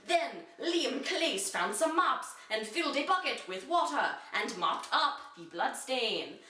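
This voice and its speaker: Bright, high-voiced woman reading in echoey room. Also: very animated, unhurried, recorded from a distance. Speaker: reader. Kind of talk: dramatic reading of an amateur children's fantasy story. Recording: echoey room, away from the mic.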